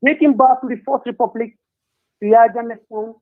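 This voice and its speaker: High-voiced man over a tinny phone line. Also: fairly even intonation. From Gambia. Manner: earnest, deliberate, frequent hesitations. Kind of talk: political discussion.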